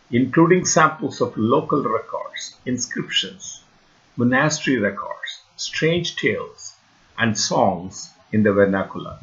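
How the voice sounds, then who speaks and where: A man with a Tamil Indian accent, a native Tamil speaker, giving a scripted lecture in formal, deliberate phrasing. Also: medium pitch, a bit bright. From India, Tamil region. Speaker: researcher and lecturer. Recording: dull, lo-fi voice recording.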